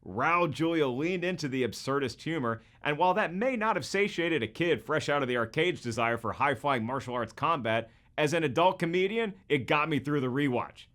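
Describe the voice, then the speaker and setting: A man's voice: on the high side, expressive, moderate pace, hardly any pauses, fairly bright tone. A man hosting scripted comedic commentary, with a wisecracking manner full of rhetorical questions. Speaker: host and comedian.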